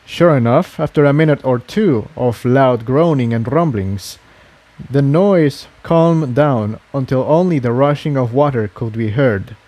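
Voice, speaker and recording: A man's voice: mid-range voice, measured pace, darkish tone. A man reading a scripted adventure story aloud as narrator. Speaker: fan fiction narrator.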